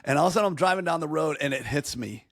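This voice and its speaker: A man's voice: on the high side, lively intonation, very fast, no room sound, clean studio sound. A man hosting a podcast, chatting casually with enthusiasm and easy laughter. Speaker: podcast host. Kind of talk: casual chat.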